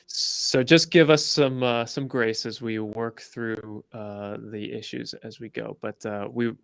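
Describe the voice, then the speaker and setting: Male product co-presenter, medium pitch, very dry sound, measured explanatory delivery. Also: steady tone, vocal fry, clean tone, no room sound. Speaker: co-presenter from a software company.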